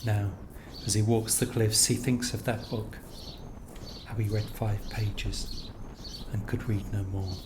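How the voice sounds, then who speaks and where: Low, even-toned man narrating slowly. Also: background noise. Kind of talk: scripted literary narration of location-based stories.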